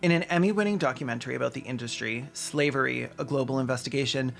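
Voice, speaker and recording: A man's voice: medium pitch, fast talker, vocal fry, a bit bright, soft background music. A man delivering scripted commentary in a sardonic way, with dry asides and crude humor. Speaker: video essayist and host.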